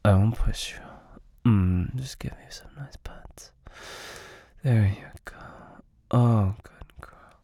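A man whispering very slowly into a close microphone, his voice husky and airy. He slips between hushed words and low moans and sighs. The sound is dry, with no room around it. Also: no echo. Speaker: audio roleplay performer. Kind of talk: intimate whispered audio roleplay.

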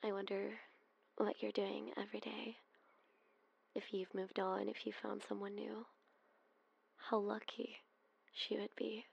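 Woman, ASMR roleplay, breathy whisper, sighing, raspy, heavy vocal fry. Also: medium pitch, little pitch movement, measured pace, long pauses, highs cut off. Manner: soft breathy whispering with sighs.

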